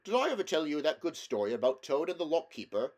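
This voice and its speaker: A man's voice: a little high, sing-song, fast talker, pitched above the performer's own voice. A man voicing a hearty, gossipy male otter in a storybook, chatty and chummy. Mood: gossipy, amused. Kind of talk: storybook character voice in a read-aloud.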